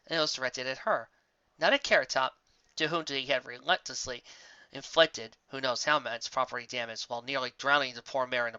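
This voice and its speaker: A narrator reading a scripted fan fiction story in an expressive, dramatic way, close to the microphone. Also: medium pitch, no room sound. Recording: slightly dull, dry home recording.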